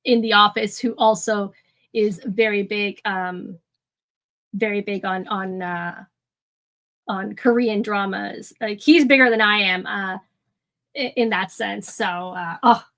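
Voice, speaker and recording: A woman's voice: medium pitch, steady tone, takes it slowly. A woman hosting an art livestream, chatting casually with viewers, rambling with lots of ums and restarts and easy laughs. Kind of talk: casual chat with live chat. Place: home room. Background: soft music.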